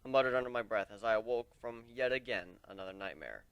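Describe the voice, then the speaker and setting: A man giving a dramatic, deliberate first-person narration of a fantasy story as an amateur reader. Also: fairly low pitch, lively intonation, a bit bright, clean tone, dry recording.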